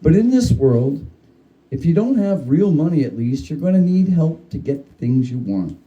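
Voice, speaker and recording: A man giving a dramatic reading of a humorous spooky story, with theatrical, deliberate phrasing. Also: fairly high pitch, very animated, mellow, dull audio. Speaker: story reader.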